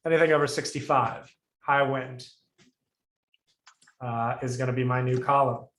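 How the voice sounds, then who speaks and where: A man's voice: medium pitch, fairly even intonation, moderate pace, a bit bright. Echoey man teaching on a video call. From Puerto Rico. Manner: thinks aloud, frequent restarts and fillers. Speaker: instructor. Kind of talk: live coding lesson review.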